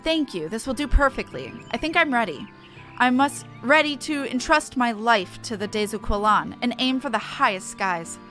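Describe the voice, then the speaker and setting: A woman's voice: fairly high pitch, expressive, normal speed, bright, forceful tone. A woman voicing a small, determined airship pilot from a fantasy game in an earnest, formal, declamatory way. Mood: earnest resolve. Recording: faint music behind.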